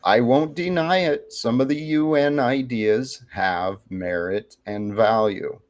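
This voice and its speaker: A man's voice: medium pitch, slow pace. A man speaking as a debate guest, making earnest, idealistic declarations. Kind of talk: informal debate.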